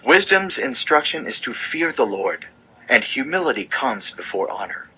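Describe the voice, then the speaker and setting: A man narrating an audio Bible as a dramatized reading, with a measured, solemn, declarative delivery. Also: mid-range voice, very animated, husky, highs cut off.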